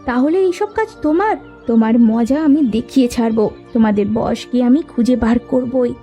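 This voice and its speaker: Plucky young village heroine for a cartoon, female actor: high pitch, rounded dark tone, earnest and resolute. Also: normal speed, natural voice. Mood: determined. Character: bold, determined young village girl and her sisters. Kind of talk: cartoon story dubbing. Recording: music behind the voice. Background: background music.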